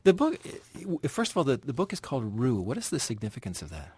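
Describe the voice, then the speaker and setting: A man hosting an interview, asking measured questions with frequent restarts. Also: medium pitch, wildly swooping pitch, a little mellow, slightly rough, dry recording. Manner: measured, hesitant, many restarts. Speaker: radio interviewer, host.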